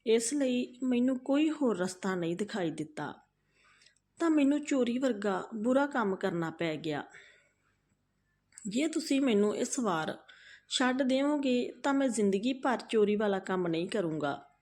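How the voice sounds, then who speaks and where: A woman storyteller voicing a poor, humble young male thief who pleads respectfully and haltingly in a folk tale. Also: fairly high pitch, animated, darkish tone. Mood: pleading.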